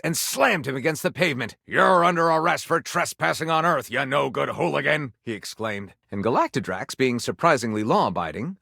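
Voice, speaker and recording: A man's voice: medium pitch, gravelly, fairly bright tone, no room sound, clean studio sound. An actor voicing a flamboyant male storyteller of lurid romance in a video game, with theatrical, breathless, overwrought dramatic narration. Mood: exclaiming in quotes.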